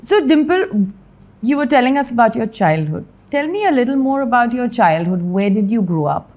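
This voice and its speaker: A woman hosting an interview, asking gentle, encouraging follow-up questions. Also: a little high, sing-song, moderate pace. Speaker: interview host.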